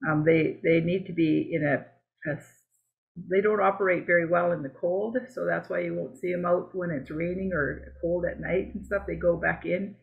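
Halting woman with a gravelly voice, tinny call audio. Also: lowish voice, steady tone. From Canada. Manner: halting and conversational, with many fillers and restarts. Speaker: beekeeping presenter. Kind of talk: slide presentation over a video call. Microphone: video call.

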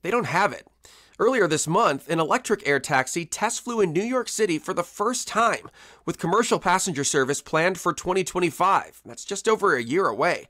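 A man's voice: sits high, animated, moderate pace, pushed and bright. A man in his thirties narrating a scripted television news report as the reporter.

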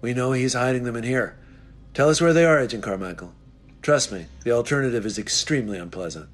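An actor playing a menacing villain agent who threatens coldly in a script read. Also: male voice, mid-range voice, fast talker, lightly acted. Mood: cold menace. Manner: cold, threatening. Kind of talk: table read acting.

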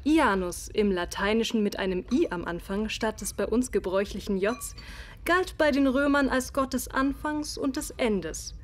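A woman's voice: fairly high pitch, normal speed, natural voice. An actress playing a proud, defensive Victorian woman doctor in a radio play, with formal, precise, haughty delivery. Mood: composed, professional. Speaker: voice actor in an audio drama. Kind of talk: German radio play dialogue.

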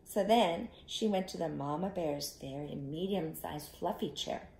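A middle-aged woman telling an interactive story to young children, expressive and instructive, inviting them to join in, recorded in a living room. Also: deep voice, unhurried, creaky, reverberant, poor audio. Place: small living room, indoors. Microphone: camera a short distance away.

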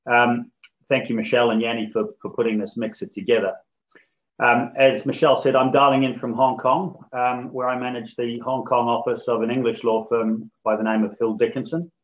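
A man from New Zealand, head of a law firm office, giving a measured, formal business presentation over a video call with frequent ums. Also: medium pitch, little pitch movement, lo-fi sound.

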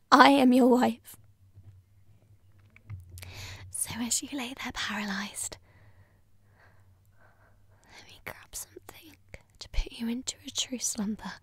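Girl playing a high breathy ghost fiancée, whispery asmr roleplay, clingy n menacing, super close dry sound. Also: no room sound, heavily acted. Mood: menacing, tender. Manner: slow, intimate, possessive.

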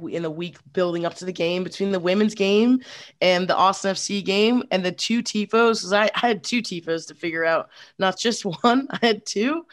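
A young woman chatting on a video call with a headset, telling her story in an energetic, rambling way, full of "like". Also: medium pitch, no room sound, clean studio sound. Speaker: supporters group organizer. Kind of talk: casual video call chat.

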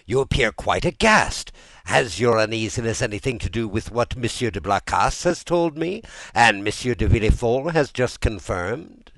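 A man's voice: lowish voice, huge pitch swings, measured pace, few pauses, no room sound, voice pushed up, exaggerated voice. A man voicing an indignant, imperious French king in an audiobook, commanding and emphatic. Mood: impatient, demanding. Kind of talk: audiobook character dialogue.

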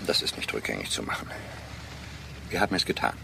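Radio drama: blustering fugitive doctor, low and gravelly, irritable and sarcastic. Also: male voice, big pitch swings, fast talker, noisy background, full character voice. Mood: irritated. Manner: sarcastic, dismissive. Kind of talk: German radio drama acting.

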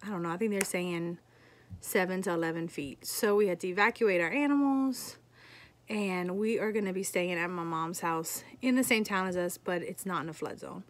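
A woman's voice: fairly low pitch, normal speed. A woman, chatting casually to a phone camera as she films around her rural homestead. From Louisiana. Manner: chatty, easygoing, talks to her kids and pets. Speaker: homesteader vlogger. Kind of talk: casual vlog narration. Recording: noisy outdoor phone audio.

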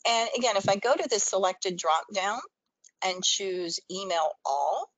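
A woman's voice: mid-range voice, unhurried, a bit bright, no echo, low-quality recording. A woman presenting a training webinar, explaining things step by step in an instructional screen-share style.

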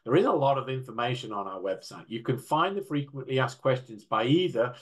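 Older man, mid-pitched, expert panellist explaining slides step by step on a video call. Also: animated, fairly quick. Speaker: panel speaker from a standards council. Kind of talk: slide presentation in an online panel.

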